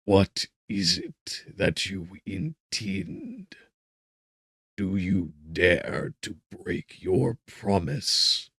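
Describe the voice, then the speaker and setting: Slow, rasping, vengeful monster. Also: male voice, low-pitched, a bit bright, no echo, clean studio sound, exaggerated voice. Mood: bitter anguish. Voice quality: smothered, rasping, breathy. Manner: slow, drawn-out, grandiose threats. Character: wretched, vengeful created monster, menacing and bitter. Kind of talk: dramatic gothic audiobook acting.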